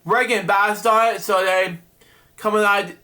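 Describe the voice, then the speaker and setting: A man reads a children's picture book aloud at a slow, unhurried pace. His voice sits a little high and sounds bright and forward. He often stumbles, restarts and breaks off into chatty asides to the listener. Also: steady tone. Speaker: storyteller reading a picture book. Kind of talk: reading a bedtime story aloud with rambling asides.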